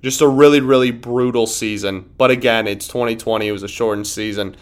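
A man's voice: medium pitch, fairly even intonation, brisk. A man hosting a sports podcast, talking casually and emphatically, often repeating himself and restarting sentences.

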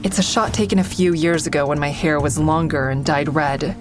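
Actress as low-voiced, sardonic, defensive woman comedian. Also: a little fast, over music, close to an everyday voice. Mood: wounded. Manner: wry, guarded, confessional. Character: defensive, sardonic woman comedian under police questioning, narrating inwardly. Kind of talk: full-cast audio drama acting with first-person narration.

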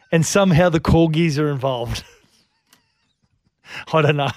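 A man's voice: fairly high pitch, slow pace, dry recording. A man co-hosting a radio show, riffing on the news with playful, absurd jokes. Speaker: radio co-host. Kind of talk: casual banter.

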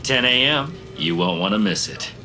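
An actor playing a smug, chummy corporate boss in a comedic audio drama, pompous and patronizing. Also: male voice, on the low side, animated, moderate pace, music in the background, close to an everyday voice. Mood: patronizing, brisk.

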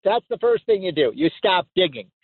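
Male radio host, fairly high voice, dry tinny phone sound, emphatic and excitable. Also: fairly quick, creaky, slightly rough, no echo. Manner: emphatic, excitable, laughs often. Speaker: radio talk show host. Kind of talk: call-in talk radio.

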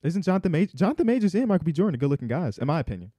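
A man chats casually as a podcast co-host at a fast clip. His tone is mellow and the sound is close and dry. He is colloquial and likes to tease. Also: a little high, sing-song, no room sound. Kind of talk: casual chat.

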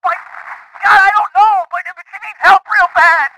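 A performer playing a panicked motorist shouting and stammering down an emergency line. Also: very high voice, steady tone, moderate pace, gravelly. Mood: terrified. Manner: screaming, stammering in terror. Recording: loud noisy phone-line sound.

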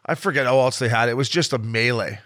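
A man co-hosting a hockey podcast, telling loud, laughing stories in casual chat. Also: mid-range voice, fast talker. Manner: loud, laughing, swearing storyteller. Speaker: podcast co-host.

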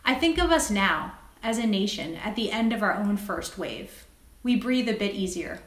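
Woman doctor on an interview, articulate, measured, medium pitch, really roomy echo. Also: lively intonation. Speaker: guest, critical care doctor and writer. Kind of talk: interview talk and reading own essay aloud. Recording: roomy, echoing sound.

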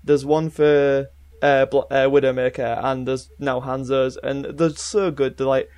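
A man's voice: medium pitch, little pitch movement, measured pace, darkish tone, clear, resonant tone. A man talking keenly and casually as co-host of a video game podcast.

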